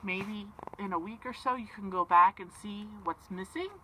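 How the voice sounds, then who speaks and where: A woman reading a children's story and chatting, expressive, with simple child-directed phrasing. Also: mid-range voice, normal speed, noisy background. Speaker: story-time reader for children.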